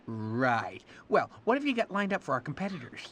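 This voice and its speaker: A man's voice: mid-range voice, big pitch swings, fairly bright tone. A man voicing a slick, frantic cartoon casting director with a theatrical, easily exasperated manner, for a video game. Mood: businesslike, unimpressed. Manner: theatrical, exasperated, fast-switching boss.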